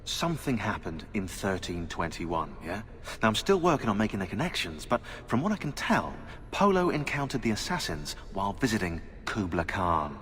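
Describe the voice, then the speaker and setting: A man's voice: fairly low pitch, sing-song, moderate pace, a bit bright. A man playing a bookish, curious researcher in a video game, explaining his theories conversationally. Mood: eager speculation. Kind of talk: video game cutscene dialogue. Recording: reverberant hall, faint background noise.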